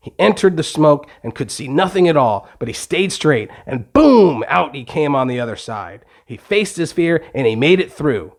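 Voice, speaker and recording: A man's voice: on the high side, expressive, normal speed, few pauses. Man narrating a children's bedtime story.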